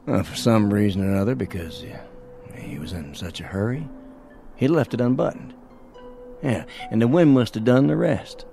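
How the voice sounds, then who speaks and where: An actor in a radio drama playing an earnest frontier man who explains things deliberately, with dramatic pauses. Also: lowish voice, gravelly, warm tone. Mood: uneasy. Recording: music bed under the dialogue.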